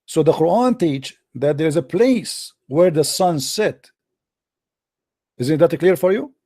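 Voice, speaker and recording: A man whose first language is Arabic, hosting a heated debate and lecturing a caller forcefully in broken English, often cutting him off. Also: fairly high pitch, animated, measured pace, no room sound, studio quality. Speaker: debate host.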